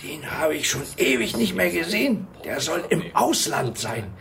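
Old male pensioner, secretive and condescending, slightly high, gravelly voice, for radio crime drama. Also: big pitch swings, normal speed, crowd noise. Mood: guarded, grave. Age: 60s. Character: chatty, secretive old pensioner, gossipy neighbour. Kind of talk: naturalistic radio drama dialogue.

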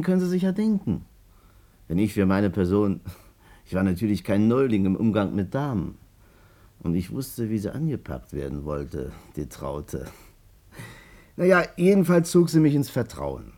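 An actor playing a smooth-talking, boastful ladies' man in a 1950s German radio play. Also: on the low side, big pitch swings, normal speed, mellow. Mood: boastful recounting. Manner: colloquial, insinuating, emphatic storyteller.

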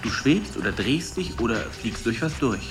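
An actor playing a dreamy, searching boyfriend, musing and puzzled in a poetic radio drama. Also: male voice, medium pitch, big pitch swings, normal speed, music in the background, close to an everyday voice.

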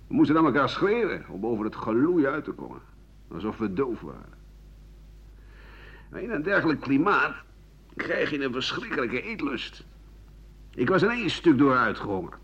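An actor playing an embittered aging French doctor and war veteran, rambling sardonically through his memories in a radio drama, his voice husky and rough-edged. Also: mid-range voice, big pitch swings, normal speed, pauses often, a bit bright, heavily acted. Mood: wry, scornful. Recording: dull 1980 radio play recording.

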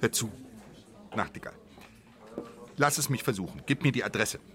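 A man's voice: medium pitch, lively intonation, normal speed, a bit bright, close to an everyday voice. Restless, questioning man in a radio drama, acted. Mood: urgent, insistent. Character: restless, inquisitive doctor drawn to forbidden adventure. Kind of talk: radio play acting.

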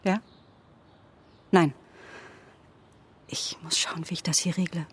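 A woman's voice: on the low side, steady tone, takes it slowly, slightly rough, heavily acted. An actress playing a guarded but caring young woman, cool and direct, in a naturalistic German radio drama.

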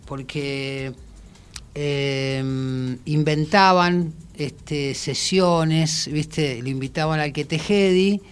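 Higher-voiced, halting Argentine man. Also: smooth. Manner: halting, hesitant, repeats words. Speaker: musician, radio guest. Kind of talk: casual reminiscing in an interview. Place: radio studio.

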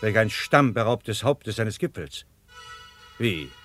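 An actor playing a grave, commanding noble warlord in a radio drama, solemn and declamatory. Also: male voice, on the low side, animated, slow, a bit bright, soft background music. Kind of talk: classic stage-like radio drama acting.

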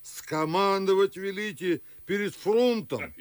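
An actor playing a pompous, garrulous older Moscow nobleman, declaiming husky-voiced in old-fashioned theatrical verse for a radio play. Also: high voice, takes it slowly, no echo. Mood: grumbling, opinionated.

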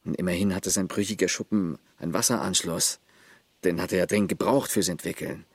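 A man's voice: low voice, steady tone, fairly quick, slightly rough, no room sound. An actor playing a terse village man who looks after his grandfather, matter-of-fact in a radio play. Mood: storytelling.

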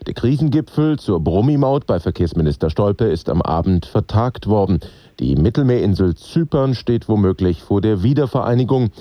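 A male news presenter with a low, mellow voice, recorded very dry in a studio. He reads scripted headlines in with a formal, orderly delivery. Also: dry recording. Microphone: close broadcast studio microphone.

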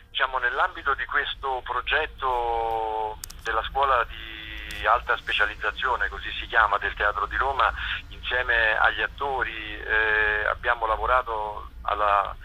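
A man giving explanatory interview answers as a theatre director, hesitant and searching for words, with a husky voice. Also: mid-range voice, a little slow, bright, forceful tone, low-quality recording. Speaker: theatre director and author interviewed about a play.

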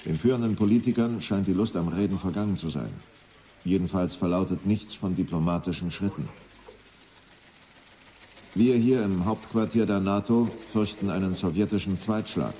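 An actor playing a grim, disillusioned general in a radio play, reporting in a sombre, bitter, measured way. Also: male voice, low-pitched, mellow, slight background noise, lightly acted.

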